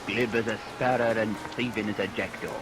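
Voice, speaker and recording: An actor playing a folksy, gossipy storyteller who narrates a vintage radio play in colloquial speech, relishing the grisly tale. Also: male voice, lowish voice, steady tone, fairly quick, background noise, lightly acted. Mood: wry relish. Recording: vintage radio recording with rain.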